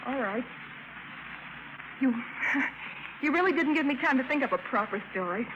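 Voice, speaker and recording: Evasive, coquettish woman of mystery, high and animated, vintage radio drama, noisy recording. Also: normal speed, highs cut off. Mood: coy, evasive. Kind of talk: 1950s radio drama acting.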